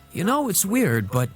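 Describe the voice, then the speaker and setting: A man's voice: high voice, exaggerated voice. An actor playing an earnest young male student in a game cutscene. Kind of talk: scripted game cutscene acting. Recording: music behind the voice.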